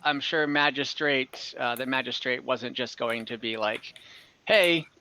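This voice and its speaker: A man's voice: mid-range voice, a little slow, bright, forceful tone, rough recording. A man casually recapping and narrating a tabletop game as game master, conversational and hesitant, often correcting himself. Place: indoor room, online game session.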